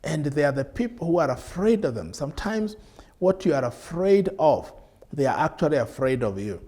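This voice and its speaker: A man's voice: a little high, very animated, mellow. Middle-aged kenyan man preaching on tv, earnest, lots of questions, stretches key words, lapel mic in studio. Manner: earnest, repetitive rhetorical questions, drawn-out emphasis. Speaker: bishop. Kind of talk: televised sermon teaching. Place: TV studio, seated at a desk.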